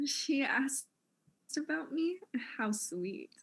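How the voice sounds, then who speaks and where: An actress playing a vain, self-important fashion mogul in a comic audio drama, arch and condescending in manner. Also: female voice, high voice, animated, slow pace, a bit bright, exaggerated voice. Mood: arch, teasing.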